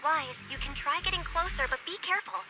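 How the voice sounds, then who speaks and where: A woman playing a capable young game character who gives brisk instructions. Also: very high voice, over music. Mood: focused. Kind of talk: video game voice acting.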